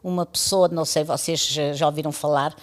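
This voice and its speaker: An older woman from East Timor tells her life story to podcast hosts. Her voice sits a little low, and she wanders through her memories in a chatty, reminiscing way, laughing often. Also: fairly even intonation, a little fast. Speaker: interview guest, radio pioneer. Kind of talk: storytelling conversation.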